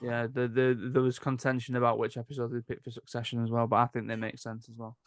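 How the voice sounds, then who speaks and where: A man with a medium-pitched voice hosts a relaxed podcast chat. His sound is dry, with hardly any room on it, and his delivery is informal and conversational. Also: fast talker, no room sound. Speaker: podcast host. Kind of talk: casual chat.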